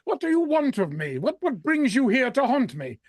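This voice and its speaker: A man playing a frightened, regretful old miser in a radio drama, stammering and repeating his words in a creaky voice. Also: extremely high pitch, huge pitch swings, moderate pace, no echo, studio quality, exaggerated voice. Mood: fearful, stammering.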